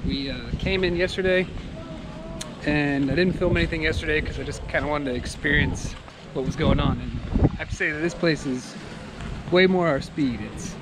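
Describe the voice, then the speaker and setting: A man's voice: on the high side, normal speed, heavy background noise. A man in his thirties chatting casually to a handheld camera outdoors as he vlogs his travels. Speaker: travel vlogger. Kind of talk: casual vlog chat to camera. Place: outdoors on streets and docks. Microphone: handheld camera at arm's length. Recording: loud music over outdoor speech.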